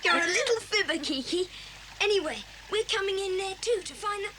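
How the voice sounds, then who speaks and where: Eager young boy hero, high-pitched, swooping intonation, brisk, encouraging, children's radio-play style, long echoing reverb. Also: lively intonation, sharp tone, slight background noise, heavily acted. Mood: excited, curious. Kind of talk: 1980s children's audio drama acting. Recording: cassette drama with long reverb.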